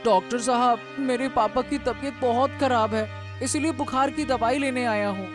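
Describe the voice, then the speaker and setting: Woman voicing an earnest, high-pitched cartoon village boy. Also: normal speed, music in the background, close to an everyday voice. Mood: polite, earnest. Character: earnest, caring young village boy, a fisherman's son. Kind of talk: Hindi cartoon story dialogue.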